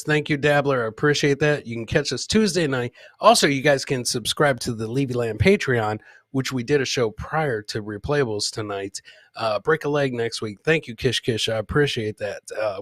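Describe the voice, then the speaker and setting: A man's voice: mid-range voice, fairly bright tone, no room sound. A man hosting a live comedy podcast, chatting informally and rambling as he introduces clips and talks to viewers. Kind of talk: casual live-stream chat, riffing on clips and reading viewer comments.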